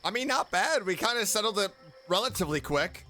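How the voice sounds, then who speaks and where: A woman streaming a game with casual, amused, expressive reactions. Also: mid-range voice, a little fast, soft background music. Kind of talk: casual playthrough commentary.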